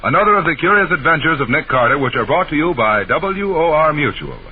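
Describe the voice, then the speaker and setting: A man's voice: on the high side, sing-song, a little fast. An American man announcing a radio serial in a formal, polished 1940s style. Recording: scratchy, tinny 1940s radio recording.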